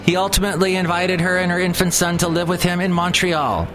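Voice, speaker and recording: A man's voice: high voice, brisk, music in the background. Rambling chatty man hosting a podcast. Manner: rambling, chatty, many fillers and restarts. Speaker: podcast host. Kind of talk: casual solo podcast talk.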